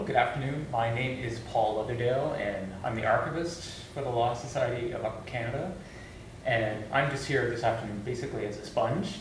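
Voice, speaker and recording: A man speaks politely and in an orderly way in a mid-range voice. He is far from the mic in a very echoey room with steady hiss. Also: medium pitch. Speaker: archivist. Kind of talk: roundtable introduction. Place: meeting room roundtable.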